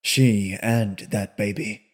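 A man reading aloud and voicing a sullen, weary young drifter who speaks in a low, rustic way. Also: flat delivery, slow. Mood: weary, somber. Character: sullen young male drifter, weary beyond his years.

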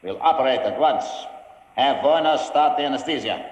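An actor playing a coldly polite, pompous mad scientist in a 1950s radio drama. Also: male voice, medium pitch, normal speed, close to an everyday voice. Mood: clinical, commanding. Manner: pompous, mockingly courteous. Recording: echoey old radio recording, long reverb.

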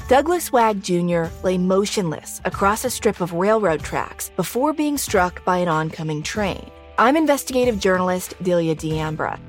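A woman narrates a scripted true-crime promo as an investigative journalist. Her mid-pitched voice moves widely, over faint music. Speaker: investigative journalist and podcast host.

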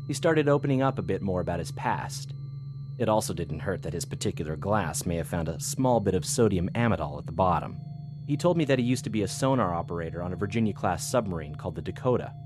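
A man's voice: on the low side, close to an everyday voice. An actor playing an eager, deferential intelligence analyst giving a thorough briefing to his superior in an audio drama. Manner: earnest, thorough, formal briefing delivery.

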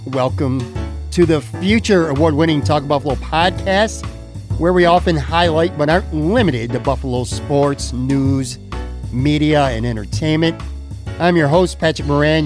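A man turning fifty, hosting a podcast in a chatty, rambling, friendly way, calling his guest "man" and "brother". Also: fairly high pitch. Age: 50s. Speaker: podcast host. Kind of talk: casual chat.

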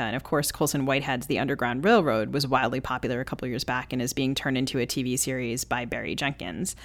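A woman co-hosting a podcast, putting a prepared question to a guest in articulate, measured phrasing. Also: a bass voice. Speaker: podcast co-host.

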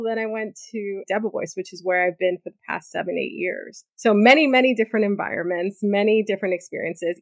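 A woman's voice: medium pitch, no echo. A woman in her thirties from New City, speaking, candidly telling her life story as an interview guest. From New York City. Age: 30s. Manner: candid, lively storytelling with frequent likes and asides. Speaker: guest, corporate lawyer. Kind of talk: conversational interview answers.

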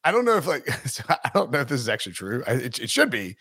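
A man's voice: mid-range voice, very animated, fast, bright, forceful tone, slightly rough, no room sound, studio quality. A man hosting a sports podcast in casual chat, rambling and interrupting himself, laughing often. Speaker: podcast host.

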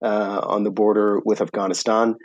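A middle-aged man from Canada being interviewed on a podcast, speaking in a measured way with many pauses and fillers. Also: fairly low pitch, flat delivery, lo-fi sound. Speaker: guest, author and UN official. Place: office in Jerusalem.

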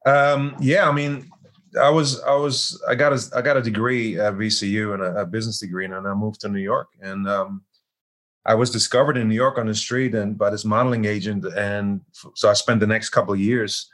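A man's voice: lowish voice, steady tone, a bit bright. A man in his forties from Germany, speaking with a German accent as an actor being interviewed, often repeating words as he restarts. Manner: halting, many restarts. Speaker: actor guest. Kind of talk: casual interview.

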